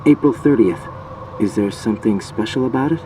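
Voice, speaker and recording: A man's voice: on the low side, a little fast, noisy background, close to an everyday voice. A man playing an intense, terse young male soldier. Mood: puzzled, subdued. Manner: terse, questioning. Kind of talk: video game voice acting.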